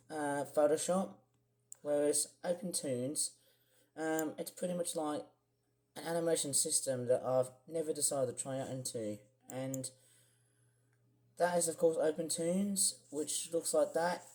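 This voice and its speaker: Croaky young man rambling. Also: on the high side, dark tone. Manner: rambling, many fillers and restarts. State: croaky, clearing throat. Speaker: vlogger. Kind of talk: casual screen-share vlog.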